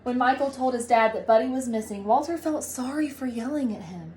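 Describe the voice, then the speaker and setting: Female storybook reader: higher register, expressive, emphatic phrasing, noisy recording. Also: a little fast. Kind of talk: children's storybook read-aloud.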